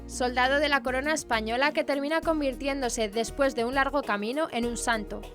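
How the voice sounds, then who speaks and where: A high-voiced woman from presents scripted news. Her reading is formal bulletin narration, with faint music underneath. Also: brisk.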